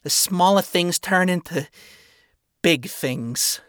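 A man's voice: high-pitched, slow, a bit bright, clear, resonant tone, no room sound, studio quality, pitched above the performer's own voice. A man voicing a flamboyant, wary male spider demon with a raised, punchy, streetwise delivery. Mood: grim.

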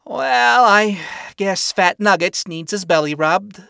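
A man's voice: high voice, slow pace, gravelly, pushed and bright, smooth, dry recording, studio quality, voice pushed up, exaggerated voice. A man voicing a flamboyant, wary male spider demon with a raised, punchy, streetwise delivery. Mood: playful.

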